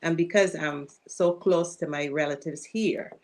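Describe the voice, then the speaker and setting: Low-voiced Barbadian woman. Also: a little slow, darkish tone, a little hiss or hum. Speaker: guest. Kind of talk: casual interview chat.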